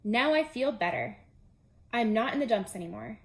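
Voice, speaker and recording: A woman's voice: a little high, animated, moderate pace, a little hiss or hum. A woman voicing a male toad from a children's storybook, a grumbling homebody who complains in a dramatic, whiny way. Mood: contented. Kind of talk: children's storybook character reading.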